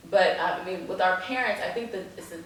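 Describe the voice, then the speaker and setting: A woman's voice: on the low side, fast talker. A woman from the United States talking as a guest attorney, wry and laughing at times. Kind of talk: casual conversation. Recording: echoey room, distant mic, faint noise.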